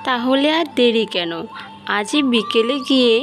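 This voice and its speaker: A woman's voice: fairly high pitch, unhurried, soft background music, lightly acted. A woman voicing an anxious, practical village wife and mother in a cartoon, persuading and coaxing as she talks. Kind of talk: cartoon story dubbing.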